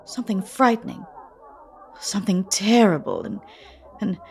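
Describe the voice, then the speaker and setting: A woman's voice: mid-range voice, big pitch swings, moderate pace, gravelly. A woman playing a wry, theatrical, unhappily married American in an audio drama. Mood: miserable, earnest.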